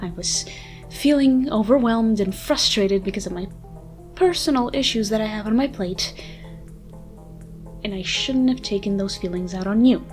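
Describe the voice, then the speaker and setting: A woman playing a haughty, sardonic ancient vampire with a soft side, speaking in wordy mock-formal lines in a dramatic ASMR roleplay. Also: medium pitch, normal speed, long pauses, lightly acted. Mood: apologetic. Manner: theatrical, wordy, dry mock-formal speech. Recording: music behind the voice. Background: music.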